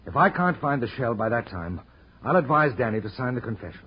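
An actor playing a self-assured, wisecracking American private detective in a 1940s radio drama, quick and insistent in manner. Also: male voice, mid-range voice, sing-song, slight background noise, natural voice. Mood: insistent, wry. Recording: dull, lo-fi old radio recording.

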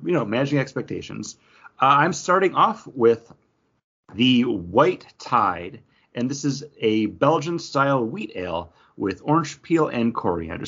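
A man's voice: mid-range voice, a bit bright, slightly rough, highs cut off. A man co-hosting a podcast in casual chat, with a joking manner. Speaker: podcast co-host.

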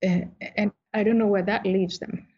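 A woman from Somalia speaks as an interview guest, measured and thoughtful, often pausing on small fillers. Her voice is husky, and the audio sounds dull and a little lo-fi. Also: medium pitch, steady tone, mellow. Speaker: guest author. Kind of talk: interview answers.

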